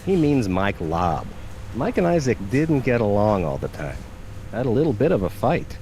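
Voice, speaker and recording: A gruff, dismissive factory hand from the backwoods in a cartoonish game. His voice is low with big swings in pitch, and his talk is blunt and folksy. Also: very animated, normal speed, warm tone, slight background noise. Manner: blunt, folksy rural grammar. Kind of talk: cartoonish video game dialogue.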